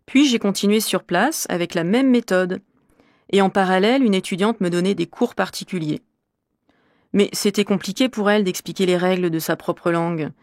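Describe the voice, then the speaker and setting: A middle-aged female French teacher, played by a woman explains herself articulately in a rehearsed interview for a language course. Her pitch sits in the middle range. The recording is dry and close, with no room sound. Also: moderate pace, a little mellow, no echo, close to an everyday voice. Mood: composed. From Normandy, France.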